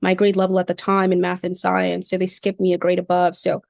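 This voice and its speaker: Gravelly-voiced young woman, reflective guest. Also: on the low side, brisk, dry recording, clean studio sound. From Cameroon. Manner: reflective, animated, says "like" often. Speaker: PhD student guest. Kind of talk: podcast interview.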